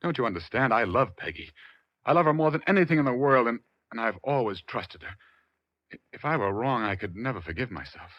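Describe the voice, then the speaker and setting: A man's voice: mid-range voice, lively intonation, fairly quick, no room sound, lightly acted. An American man playing an anxious, distraught husband in his late thirties in a 1940s radio drama, hesitant and earnest. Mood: agitated, anguished. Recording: muffled 1940s radio recording.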